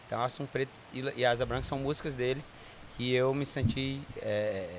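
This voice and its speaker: A man's voice: medium pitch, fairly even intonation, fairly quick, a little hiss or hum. A man from Ceará with a Cearense accent, a brewery founder telling stories as an enthusiastic interview guest. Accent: Cearense Portuguese. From Ceará, Brazil. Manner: enthusiastic storyteller, frequent tag questions. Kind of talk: casual interview chat.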